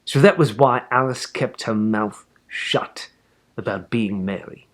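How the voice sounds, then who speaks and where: A man reading a children's book aloud in an animated, expressive storytelling manner. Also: on the low side, a little slow. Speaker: story reader.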